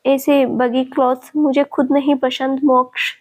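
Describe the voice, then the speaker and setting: A woman's voice: high voice, little pitch movement, measured pace. A woman voicing a blunt, easily irritated nineteen-year-old girl with short, curt replies.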